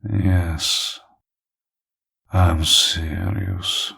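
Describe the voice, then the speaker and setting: Deep, husky villain flirting slowly, played by a man. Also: level tone, bright, forceful tone, heavily acted. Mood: flirtatious, coaxing. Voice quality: husky, gravelly. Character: flirtatious, possessive villain from an evil organization, teasing and smug. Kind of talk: intimate roleplay audio, spoken to the listener.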